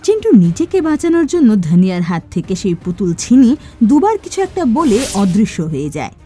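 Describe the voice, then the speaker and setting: A woman's voice: on the high side, wildly swooping pitch, quick pace, mellow, soft background music. A woman giving scripted narration for a cartoon story.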